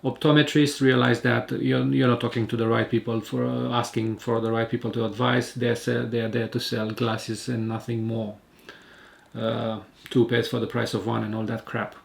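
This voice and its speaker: A man speaking calmly and hesitantly about his recovery from eye surgery, with many fillers and restarts. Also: mid-range voice, normal speed, gravelly. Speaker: patient sharing his surgery experience. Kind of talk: casual spoken vlog. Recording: home video recording.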